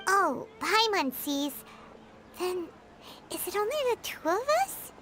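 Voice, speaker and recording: A woman voicing a small, excitable, caring fairy companion in a video game, with a squeaky childlike voice and exclamatory third-person talk. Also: slow pace, heavy background noise, heavily acted. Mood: puzzled. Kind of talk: animated video game voice acting. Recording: loud music behind the voice.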